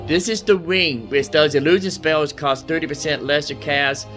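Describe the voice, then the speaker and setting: A man's voice: on the high side, steady tone, moderate pace, fairly bright tone, soft background music. A man giving casual, informal let's play commentary as a gaming host, often repeating phrases to himself.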